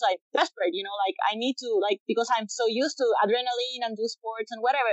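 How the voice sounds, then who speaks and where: Chilean woman, late 20s, a little high, muffled dull dry sound, halting casual interview answers. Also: fairly bright tone, no echo. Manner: halting, many restarts and fillers. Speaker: guest, professional bodyboarder.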